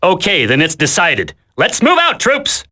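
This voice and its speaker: A man's voice: high voice, huge pitch swings, unhurried, sharp tone, heavily acted. A man voicing a brash, impatient squad leader in a video game, slangy, wisecracking and bossy. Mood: bossy, urgent.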